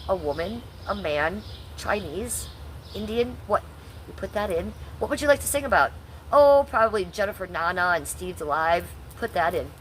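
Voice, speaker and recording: A woman's voice: medium pitch, very animated, moderate pace, a little hiss or hum. A middle-aged woman from Ohio, talking bluntly and sarcastically to her chat on a livestream, swearing freely. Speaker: livestream host.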